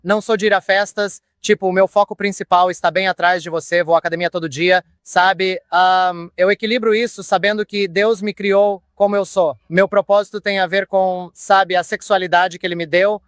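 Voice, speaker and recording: A man from New Orleans answering questions about faith, calm and reflective, often adding fillers. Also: sits high, normal speed, hardly any pauses, clear, resonant tone, dry recording, clean studio sound. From New Orleans, USA. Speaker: interviewee.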